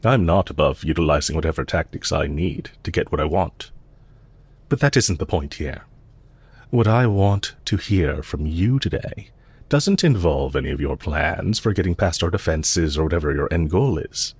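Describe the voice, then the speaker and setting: A man playing a calm, courteous villain leader in an audio roleplay, measured, formal and politely condescending, with quiet menace and amusement. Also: low voice, breathy edge, no room sound, heavily acted. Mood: calm, quietly menacing. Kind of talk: audio roleplay, intimate scripted acting addressed to listener.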